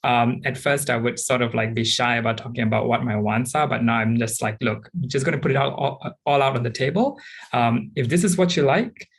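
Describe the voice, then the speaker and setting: A man, frankly and thoughtfully sharing personal experiences as a panelist, often pausing with ums. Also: medium pitch, breathy edge. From Singapore. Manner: reflective and frank, with frequent ums. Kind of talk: candid personal account on a panel.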